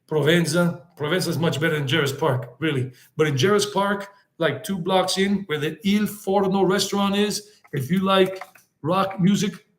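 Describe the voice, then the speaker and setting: Chatty rambling man in an echoey room. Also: sits high. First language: English. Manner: rambling, answers viewer comments, mixes Spanish words. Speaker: travel vlogger hosting a live stream. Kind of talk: casual live-stream chat.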